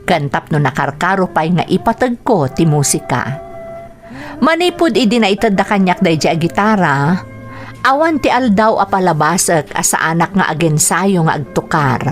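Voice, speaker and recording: Radio drama narrator, female actor as earnest young music lover, lively intonation, reflective storytelling over faint music. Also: fairly low pitch, normal speed, lightly acted. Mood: fond, reflective. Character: young music lover fondly recounting childhood in a letter.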